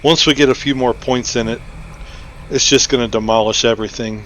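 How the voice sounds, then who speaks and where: A man's voice: medium pitch, steady tone, a little fast, a bit bright, lo-fi sound, slight background noise. A man giving casual commentary as he plays through a game. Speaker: gaming video host.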